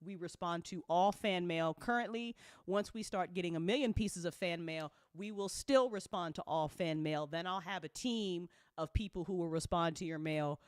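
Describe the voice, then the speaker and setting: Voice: medium pitch, normal speed, clean tone, no echo, studio quality. A host giving a casual livestream update, talking effusively with casual swearing and a giggly, easily amused manner. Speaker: host and audio drama creator. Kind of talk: casual livestream update, reading and answering fan comments.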